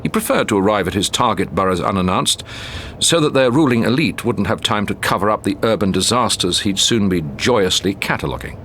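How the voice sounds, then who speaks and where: Expressive British man narrating a story. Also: fairly low pitch, fairly quick, slight background noise. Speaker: audiobook narrator. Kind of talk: scripted short story narration.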